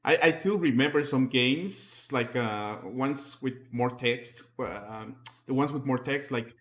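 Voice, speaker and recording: A man's voice: medium pitch, slow, fairly bright tone. A man who is not a native English speaker, hosting a casual interview and asking curious follow-up questions, often repeating words as he starts. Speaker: podcast host.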